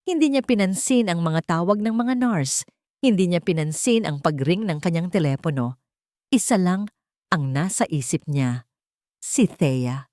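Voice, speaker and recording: Lowish-voiced woman, very animated dramatic narrator. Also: no room sound, clean studio sound. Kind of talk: dramatic scripted story narration.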